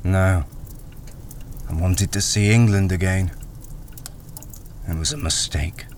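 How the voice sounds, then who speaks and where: An actor playing a wary, cornered army deserter working as a miner in a radio crime drama, hesitant and grim. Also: male voice, low voice, slow pace, a little hiss or hum. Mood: regretful, reluctant. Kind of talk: radio crime drama acting with first-person narration.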